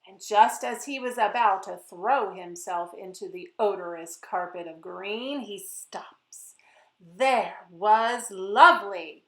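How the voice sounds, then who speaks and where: A woman reading a picture book aloud to children in an expressive way, speaking to her young listeners directly. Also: medium pitch, takes it slowly. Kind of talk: animated children's story read-aloud. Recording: roomy echo.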